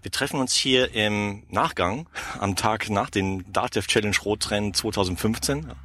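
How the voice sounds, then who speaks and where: A podcast host with a fairly low voice, speaking in a relaxed, friendly way. He is interviewing a guest from inside a car, with a dry, close sound. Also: moderate pace, no echo. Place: inside a parked car at an expo.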